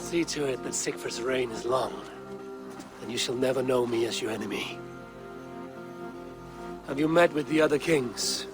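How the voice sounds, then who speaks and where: An actor playing a steady adult Viking warrior in a game cutscene, talking calmly and firmly like a counselor. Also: male voice, medium pitch, moderate pace, long pauses, music in the background, lightly acted. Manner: calm, firm, counseling.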